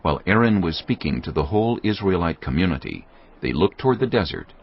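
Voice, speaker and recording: Casting note: male narrator, deep gravelly voice, measured scripture reading, dull track. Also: big pitch swings. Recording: dull audio with faint music behind.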